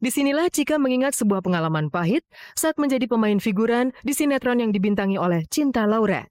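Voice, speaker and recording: A woman reading formal scripted narration in a measured storytelling manner for an entertainment profile. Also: a little high, animated, darkish tone, dry recording, studio quality.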